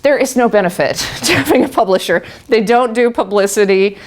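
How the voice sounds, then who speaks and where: A woman's voice: fairly high pitch, brisk. A middle-aged woman telling a group her own story, laughing often and restarting her sentences. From Texas. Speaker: guest speaker. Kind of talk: personal talk to a live group.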